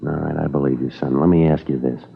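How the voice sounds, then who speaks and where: An actor playing a stern, dogged middle-aged police sergeant, clipped and deadpan, in a 1950s radio crime drama. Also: male voice, very deep voice, quick pace, creaky, husky, full character voice. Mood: measured, insistent. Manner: clipped, deadpan, matter-of-fact. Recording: muffled old 1950s radio recording.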